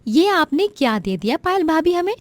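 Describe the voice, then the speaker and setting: A woman plays a petulant young sister-in-law in a family tale. The voice is raised high, scornful and complaining, in a dry recording. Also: sing-song, normal speed, dry recording. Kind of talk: dramatized Hindi family story.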